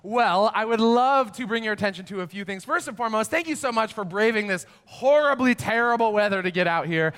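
A slightly hoarse man giving upbeat, welcoming church announcements as a student ministries director. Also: high voice, lively intonation, fairly quick, few pauses, a bit bright. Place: church sanctuary. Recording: long hall reverb.

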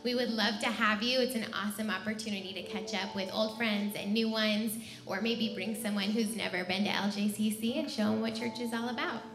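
Female church announcer, medium pitch, chatty and inviting, reverberant room, soft music underneath. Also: steady tone, fairly quick, hardly any pauses. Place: church sanctuary during live service. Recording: echoey room, music behind.

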